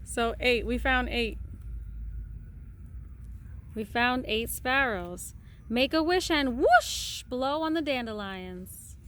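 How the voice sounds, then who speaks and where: A woman reading a picture book aloud to a small child in a patient, sing-song way, pausing to count and point. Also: a little high, expressive, fairly bright tone, a little hiss or hum.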